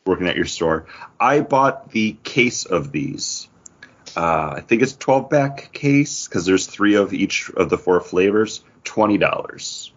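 A man's voice: on the low side, expressive, takes it slowly, muffled recording. An American man, a podcast host, chatting casually on a show.

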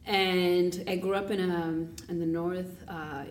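Woman from Kenya, very low pitch, hesitant reflective talk, echoey room, faint noise. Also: little pitch movement, slow pace. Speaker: guest, food business founder. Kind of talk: reflective casual interview talk. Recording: roomy, distant mic, background noise.

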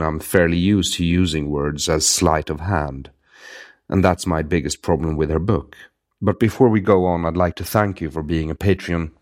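Very deep-voiced Swedish-accented man. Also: a little mellow. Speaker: podcast host. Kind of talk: read intro then casual conversation.

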